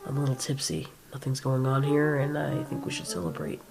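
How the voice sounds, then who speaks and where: A woman's voice: a bass voice, completely flat delivery, fairly quick, gravelly, music in the background, voice pushed down. A woman voicing a crude, grumpy male giant monster in a comic audio drama, blunt, taunting and sarcastic. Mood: tipsy, leering.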